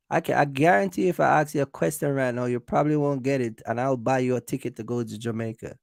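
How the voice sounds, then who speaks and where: A man's voice: mid-range voice, creaky, a little mellow, no room sound, clean studio sound. A man hosting a casual livestream, giving business advice straight to viewers in an emphatic, repetitive way. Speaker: host of a trucking business livestream.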